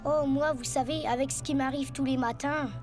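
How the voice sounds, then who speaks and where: A woman's voice: high voice, fairly even intonation, normal speed, natural voice. A woman playing a good-natured, plucky nine-year-old boy in a cartoon, boyish, colloquial and exclamatory. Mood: surprised, easygoing. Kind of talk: French cartoon dubbing. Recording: loud music behind the voice.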